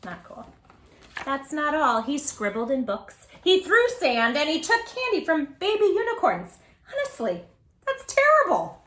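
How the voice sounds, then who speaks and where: A woman hosting a children's read-aloud, speaking in an animated, emphatic way straight to small children. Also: sits high, unhurried. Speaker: children's storytime host and tutor. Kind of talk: children's read-aloud and chat to young viewers.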